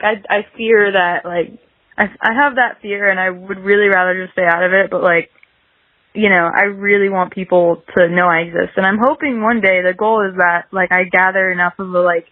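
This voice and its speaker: A woman's voice: mid-range voice, no room sound, phone-quality sound. A woman chatting casually as a musician guest in an interview, rambling and peppering her talk with "like". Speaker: guest musician, singer-songwriter.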